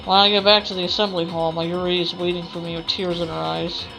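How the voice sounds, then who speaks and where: A woman's voice: fairly low pitch, level tone, brisk, vocal fry, rough recording. A woman gamer reading visual novel narration aloud, with casual asides of her own. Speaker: gamer doing a let's play. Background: game music.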